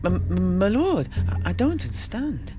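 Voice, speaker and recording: Eager apprentice adventurer, male, played by a man: respectful, easily flustered, very animated intonation, fantasy tale over music. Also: high-pitched, moderate pace, pitched above the performer's own voice. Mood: flustered, stammering. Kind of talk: dramatic fantasy storytelling. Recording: music bed behind the voice.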